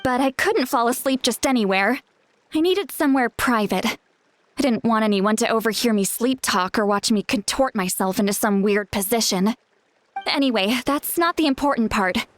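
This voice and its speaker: A woman's voice: a little high, expressive, normal speed, slight background noise, close to an everyday voice. A woman playing an earnest, anxious young seer in a video game, explaining things urgently with hesitant asides. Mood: worried. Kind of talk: English video game voice acting. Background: faint game music.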